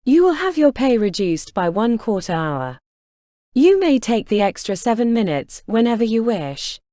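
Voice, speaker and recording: A woman's voice: a little high, very animated, normal speed, warm tone, no echo, studio quality, voice pushed up. A performer voicing a stern, stiff, officious female receptionist in an audiobook, with clipped, formal, disapproving delivery. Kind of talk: audiobook character dialogue.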